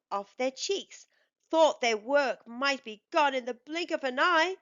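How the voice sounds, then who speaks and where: A woman tells a rhyming children's tale in a high, very lively voice. She is expressive and takes her time over each line. The recording sounds slightly dull and lo-fi. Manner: expressive, deliberate. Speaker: storyteller reading aloud for children. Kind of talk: rhyming picture book narration.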